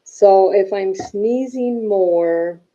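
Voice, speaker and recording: A woman giving viewers a chatty health update, her voice weak and raspy from a weak diaphragm and full of "okay?" check-ins. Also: medium pitch, extremely slow, dark tone, lo-fi sound. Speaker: vlogger.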